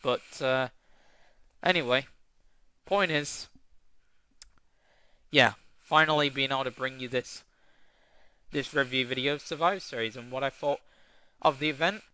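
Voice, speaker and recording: A man's voice: medium pitch, a little slow, pauses often, pushed and bright, clean tone, no echo. A young man casually reviewing a wrestling show, rambling with lots of fillers and restarts, with a tickly cough.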